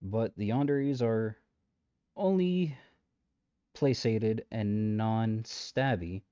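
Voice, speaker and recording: A man's voice: on the low side, extremely slow, warm tone, smooth, no room sound, dull audio. A man playing a shady, flippant matchmaker in a comedic audio roleplay, rambling sarcastically to the listener with breezy menace. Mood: defensive, sardonic. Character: shady, flippant male matchmaker coercing a trapped customer.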